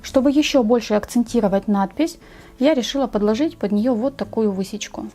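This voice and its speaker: A woman narrating a step-by-step craft tutorial in a measured, explanatory way. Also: a little high, mellow, faint music behind.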